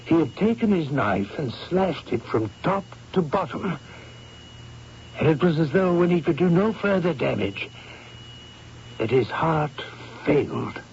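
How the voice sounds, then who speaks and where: An actor playing a solemn man slowly breaking bad news in a 1970s radio drama. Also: fairly high pitch, big pitch swings, a little mellow. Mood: grave. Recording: dull old radio broadcast, faint hiss.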